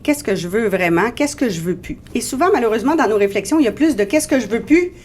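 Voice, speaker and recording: A woman's voice: a little high, lively intonation, quick pace, darkish tone. A woman in her forties with a Québécois French accent, a guest in a radio interview, explaining her experiences in an earnest, reflective way. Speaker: guest author.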